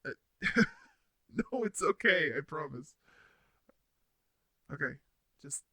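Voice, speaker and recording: Shy robot roommate, male actor: very bright, slow-paced voice, stammering and kindly, for intimate roleplay audio. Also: a little high, lively intonation, breathy edge, full character voice. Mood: sheepish, nervous. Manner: stammering, hesitant, nervous laughs, sighs. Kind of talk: intimate roleplay audio drama addressed to the listener.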